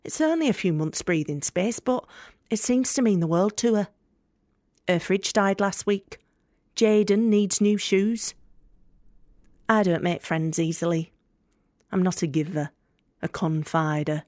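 A woman's voice: mid-range voice, unhurried, pauses often, darkish tone, no room sound, clean studio sound, natural voice. An actress playing a tough, guarded working woman from Leeds with a Yorkshire accent, blunt, wry and confiding in an audio drama monologue. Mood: touched, then guarded, moved. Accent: Northern English, Yorkshire.

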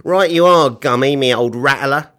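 A man voicing a scrawny, cruel, cheeky butcher, a storybook villain's loud and slangy sidekick. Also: mid-range voice, normal speed, natural voice. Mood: gleeful. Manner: loud, colloquial, cackling.

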